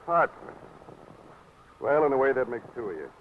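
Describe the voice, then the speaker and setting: An actor playing a blunt, guarded police lieutenant in a 1940s radio drama. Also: male voice, mid-range voice, sing-song, normal speed, slight background noise, lightly acted. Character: gruff male police lieutenant questioning a witness. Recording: tinny old radio broadcast.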